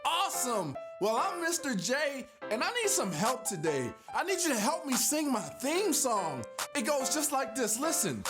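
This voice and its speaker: Male kids' show host, high animated voice, casual and encouraging, slow bouncy phrasing over prominent hip hop music. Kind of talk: upbeat kids' show intro with sung theme.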